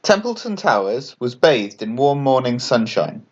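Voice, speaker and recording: Animated man reading a children's story. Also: medium pitch, a little slow, dull audio. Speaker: author and storyteller. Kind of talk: scripted children's bedtime story narration.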